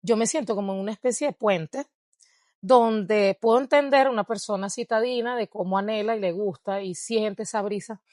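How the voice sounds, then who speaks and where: A woman's voice: mid-range voice, normal speed, a little mellow, dry recording, clean studio sound. A Venezuelan woman with a Venezuelan Spanish accent, answering interview questions in a reflective way and laughing often. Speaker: guest, dancer and researcher. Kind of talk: interview conversation.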